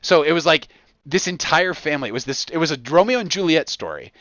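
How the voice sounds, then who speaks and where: Male podcast host, bright and forceful, slightly dull dry recording, casual storyteller who voices imagined quotes. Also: a little high, lively intonation, fast, no echo. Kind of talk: casual rambling podcast chat.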